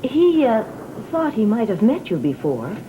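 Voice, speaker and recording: Genteel gothic soap matron: emotive, lively melodic range, dull vintage broadcast sound. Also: female voice, on the high side, measured pace. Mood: reproachful. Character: proper married lady of a gothic household, reproachful. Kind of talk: gothic TV soap opera acting. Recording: muffled, noisy old TV soundtrack.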